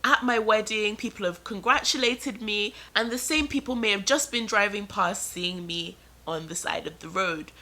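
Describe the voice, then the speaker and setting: A young adult woman vlogger telling a personal story in an animated, dramatic way and drawing it out for suspense. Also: medium pitch, moderate pace, hardly any pauses. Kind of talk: storytime narration.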